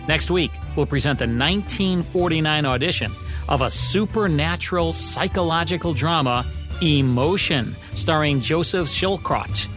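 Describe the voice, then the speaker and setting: A man's voice: fairly high pitch, normal speed. An American man co-hosting a radio show, reading scripted narration in a polished presenter's manner. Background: music.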